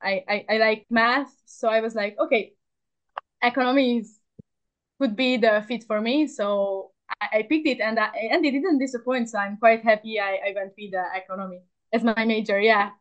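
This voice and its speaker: Young woman, about 20, upbeat interview guest on a remote line, slightly high voice, lots of pauses and self-corrections. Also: fairly even intonation, highs cut off. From Hungary. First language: Hungarian. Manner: hesitant, many fillers and restarts, upbeat. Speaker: athlete guest. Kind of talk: casual interview chat.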